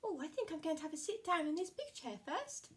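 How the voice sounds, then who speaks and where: A woman voicing a cheerful, polite little girl with golden curls in a storybook puppet show, with bright, sing-song exclamations. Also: very high voice, very animated, fairly quick. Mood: fussy.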